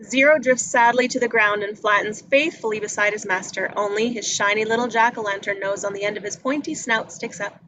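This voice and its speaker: A woman's voice: mid-range voice, fairly even intonation, a little fast, hardly any pauses, noisy background. A woman reading scripted action descriptions as narrator at a live table read.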